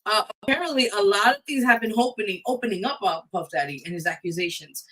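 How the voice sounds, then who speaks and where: A woman's voice: mid-range voice, animated, quick pace, a bit bright, rough recording. A woman hosting a casual live talk show, speaking in an emphatic, blunt way. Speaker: radio show host.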